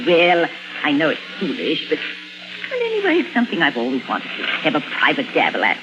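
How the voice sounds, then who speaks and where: An actress playing a chatty, bustling middle-aged companion in a 1950s radio drama. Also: female voice, low voice, huge pitch swings, brisk, music in the background. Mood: chirpy, coaxing. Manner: chatty, bright-mannered, coaxing. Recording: dull vintage 1950s radio recording.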